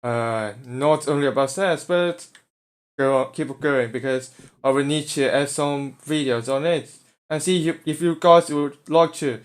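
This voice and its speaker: A man from Hong Kong with a Hong Kong English accent, hosting a live voice forum in a halting, self-correcting way while he sets up his stream. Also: a little high. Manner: halting, restarting, fussing with his stream setup.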